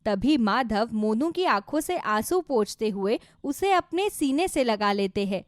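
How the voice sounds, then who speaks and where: A woman's voice: a little high, expressive, unhurried, no room sound, clean studio sound. A woman narrating a scripted moral story for children.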